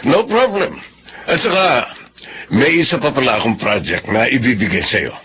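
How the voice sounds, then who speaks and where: A man's voice: mid-range voice, expressive, moderate pace, bright, forceful tone, slight background noise. A man playing a confident, friendly boss with a matchmaking streak in a radio drama. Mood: jovial, boastful.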